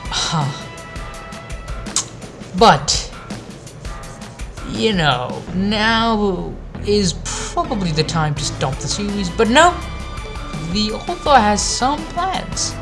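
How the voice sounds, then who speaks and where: A woman giving an informal, sweary video rant as a reviewer, in a blunt and sarcastic manner. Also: medium pitch. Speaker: anime review video maker. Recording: music behind the voice. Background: background music.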